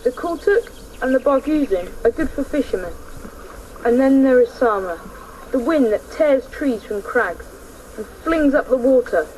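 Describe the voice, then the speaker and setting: A woman's voice: sits high, expressive, normal speed, a little mellow, a little hiss or hum, rough recording. A woman acting as a bold, scrappy young boy in a radio play, with an assertive, dramatic delivery. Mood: absorbed, confident.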